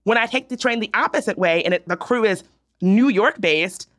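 Young female co-host from the South, animated and opinionated, everyday mid-range voice. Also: mid-range voice. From Virginia, US South. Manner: animated, opinionated, drawn-out storytelling. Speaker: podcast co-host. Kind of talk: casual chat.

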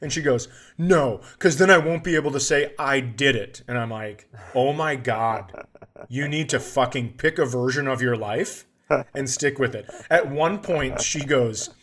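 A man's voice: medium pitch, measured pace, fairly bright tone, slight background noise. A man hosting a podcast, telling a casual comedic story in a profane, exasperated way and acting out the dialogue. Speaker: podcast host.

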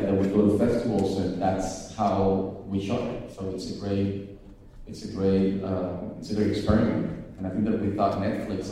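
Halting low-voiced man from Venezuela. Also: dark tone. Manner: halting, searching for words, many restarts. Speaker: film panelist. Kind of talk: press conference answers. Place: press conference room. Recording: echoey hall from far off.

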